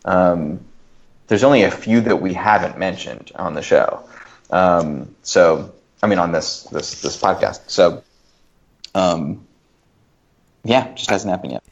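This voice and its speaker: A man speaking as a podcast guest in a casual panel discussion, arguing haltingly and often reworking his sentences. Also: low-pitched, creaky. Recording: dull, lo-fi voice recording.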